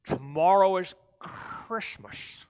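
A man's voice: high voice, slow, a little mellow, voice pushed up. A man voicing a sour, scheming creature who hates Christmas, snarling and emphatic as in a storybook reading. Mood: irritated, dreading. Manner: snarling, growling, emphatic repetition. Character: sour, hateful, scheming grumpy male Christmas-hating creature.

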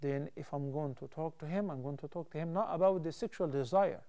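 Calm male TV scholar, mellow voice. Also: a little high. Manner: calm, measured, explains step by step with hand gestures. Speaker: TV Islamic scholar answering callers. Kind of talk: studio call-in Q&A advice. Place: TV studio. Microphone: lapel mic.

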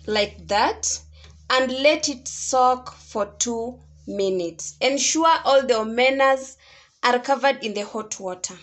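High-voiced, unhurried Kenyan woman. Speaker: home cook, video host. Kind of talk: cooking tutorial voice-over.

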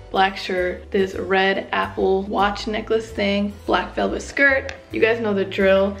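A woman, chatting informally to camera as a vlogger. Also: medium pitch. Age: 20s. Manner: chatty, informal, youthful phrasing. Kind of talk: casual vlog chat to camera.

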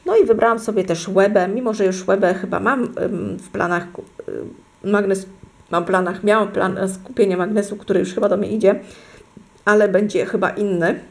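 A woman's voice: mid-range voice, steady tone, moderate pace. A middle-aged woman talking casually to her viewers at home, rambling and correcting herself as she shows souvenirs. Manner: rambling, self-correcting, addresses viewers directly. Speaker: vlogger showing her fridge magnets. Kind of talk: casual chatty unboxing vlog. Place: at home, indoors at a table.

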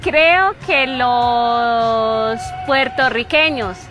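Emphatic Santander Colombian woman, high voice. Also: drawn-out words, over music. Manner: forceful, emphatic delivery with frequent "¿sí?" tags. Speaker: interviewee. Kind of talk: street interview anecdotes. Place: outdoor park with trees.

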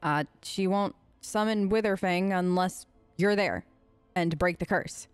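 A woman's voice: fairly low pitch, a little slow, clear, resonant tone, no echo, faint music behind. A woman hosting a role-play let's play, talking casually and informally as she improvises in character.

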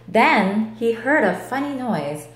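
A woman reads a children's story aloud, slowly and expressively. Her pitch moves widely, and the room adds a noticeable echo. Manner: deliberate, animated. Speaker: storybook reader. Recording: roomy indoor echo.

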